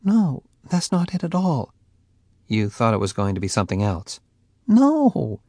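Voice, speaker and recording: Man putting on a heartbroken young woman, high and tearful, husky edge, wide swings in pitch. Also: wildly swooping pitch, a little slow, mellow, no echo, clean studio sound, exaggerated voice. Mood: hurt pleading. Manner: tearful, pleading, rising to anger. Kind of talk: audiobook character voice.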